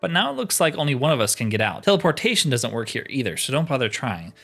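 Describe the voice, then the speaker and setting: A man's voice: mid-range voice, very animated, quick pace, creaky, a bit bright, soft background music, heavily acted. A man voicing a blunt, wry trapped fighter in a video game, explaining things in a matter-of-fact, slightly impatient way. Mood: wry, businesslike. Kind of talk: reading game dialogue in character.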